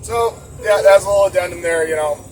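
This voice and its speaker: A chatty trucker talks to the camera as he drives, rambling and joking at his own expense. His voice sits a little high and reaches a mic set back in the cab. Engine and road noise rumble clearly behind him. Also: fast, a little mellow, far from the mic, low-quality recording. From Boston area. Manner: rambling, chatty, self-mocking. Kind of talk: casual vlog while driving. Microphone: camera mounted inside the cab.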